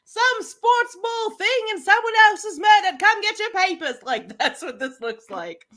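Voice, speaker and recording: Bubbly woman cohost, bright voice that jumps all over, jokey and giggly. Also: extremely high pitch, a little slow. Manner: bubbly and excitable, lots of laughs and playful put-on voices. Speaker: podcast co-host. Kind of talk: casual live-stream chat.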